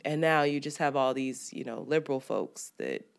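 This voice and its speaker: A woman's voice: very deep voice, normal speed, creaky, clear, resonant tone. A woman co-hosting a podcast, chatting casually, swearing freely and acting out quotes. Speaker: podcast co-host. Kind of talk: casual chat.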